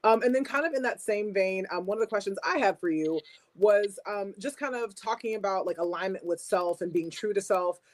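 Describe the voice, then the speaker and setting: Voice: mid-range voice, fairly quick. Encouraging podcast host with long questions. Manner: encouraging, asks long multi-part questions. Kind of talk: interview questions.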